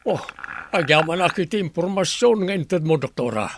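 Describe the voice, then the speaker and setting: A man's voice: a little high, animated, brisk. A man acting as a worried elderly grandfather in an Ilocano radio drama, flustered and urgent. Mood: anxious. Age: older. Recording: radio play with music underneath.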